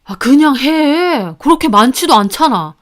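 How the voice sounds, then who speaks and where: A woman's voice: high voice, animated, measured pace, voice pushed up. A woman voicing an angry, belittling husband who accuses and sneers in a dramatized family story. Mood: annoyed. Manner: accusing, scornful. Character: irritable, belittling adult husband, angry and contemptuous.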